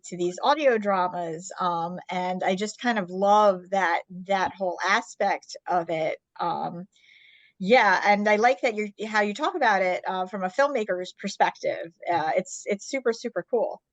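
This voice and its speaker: A woman's voice: mid-range voice, measured pace, no echo. Female podcast host, mid-range, dry recording, casual rambling chat.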